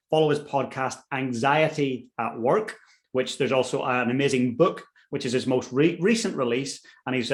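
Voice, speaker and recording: A man hosting a podcast interview, welcoming his guest with effusive praise. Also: mid-range voice. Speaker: podcast host. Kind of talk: interview host.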